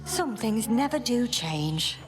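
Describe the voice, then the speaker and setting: A woman's voice: a little high, big pitch swings, takes it slowly. A wry, motherly old goddess in a video game, speaking fondly and a little teasingly. Game music plays behind her. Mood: nostalgic. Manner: fond, calls the listener dearie.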